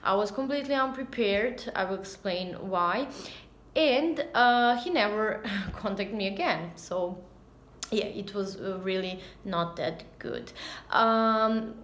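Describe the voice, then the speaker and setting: A woman's voice: medium pitch, animated, slow pace, fairly bright tone. A woman in her thirties, a native Serbian speaker with a Serbian accent, chats casually and somewhat hesitantly to camera as a language teacher, recorded on a phone at arm's length. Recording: echoey, roomy sound.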